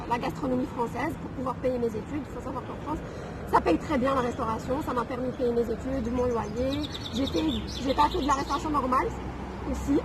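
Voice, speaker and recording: A woman in her thirties from Algeria, telling her story in a casual interview with frequent fillers. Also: high-pitched. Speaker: guest. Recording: noisy background.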